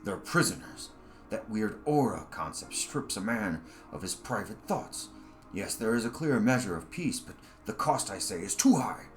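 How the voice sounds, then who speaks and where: A man voicing a forceful, self-righteous leader in a story, rallying followers with emphatic, oratorical speech. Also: fairly low pitch, very animated, moderate pace, soft background music. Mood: fervent urging.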